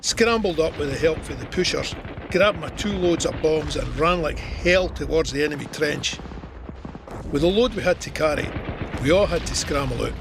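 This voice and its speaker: A man's voice: fairly high pitch, background noise, close to an everyday voice. An actor playing a Scottish First World War corporal, telling his memories plainly in an audio drama. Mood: urgent recollection. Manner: plain-spoken, matter-of-fact storytelling. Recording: artillery and gunfire effects behind.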